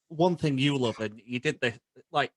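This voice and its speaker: A man in his twenties hosts a casual interview. His voice sits at a middling pitch and sounds dry, with no room around it. He words his questions haltingly, stammering a little as he starts. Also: no room sound. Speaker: podcast host, interviewer.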